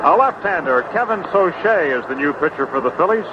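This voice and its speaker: Male baseball play-by-play caller, fairly high voice, heard through heavy noise on a vintage broadcast. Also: lively intonation, brisk. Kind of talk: live radio sports commentary. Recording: noisy, dull vintage radio broadcast.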